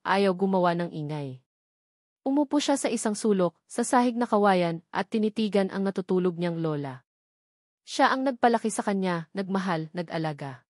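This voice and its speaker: A woman narrates a scripted drama in the manner of an audiobook. Her voice sits at a middling pitch. The recording is dry, with no room around it. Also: a little mellow, dry recording.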